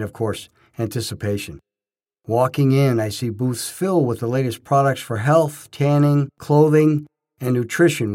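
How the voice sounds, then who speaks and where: A man's voice: mid-range voice, darkish tone. A man reading scripted first-person narration, telling his own experiences as a narrator. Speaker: narrator, bodybuilding judge.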